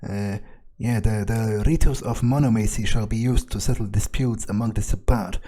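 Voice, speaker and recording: A man's voice: fairly low pitch, moderate pace, dark tone. A man improvising in-character commentary for a game playthrough, with a hesitant, musing and sardonic way of talking. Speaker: gamer role-playing his character.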